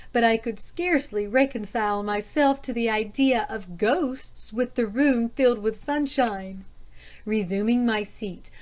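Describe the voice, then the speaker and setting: A woman's voice: fairly high pitch, close to an everyday voice. A woman voicing a nervous, dramatic wife and mother in a storybook ghost tale.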